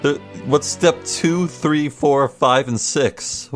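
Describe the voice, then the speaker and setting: A man's voice: a little high, takes it slowly. Man casually commenting over game music. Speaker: video game let's player.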